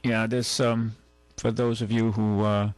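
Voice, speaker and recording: A man hosting a call-in radio show, talking casually and haltingly, rambling as he goes and reading out items. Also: on the low side, level tone, no room sound, soft background music. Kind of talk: casual talk radio, reading news and chatting. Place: radio studio. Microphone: close studio microphone.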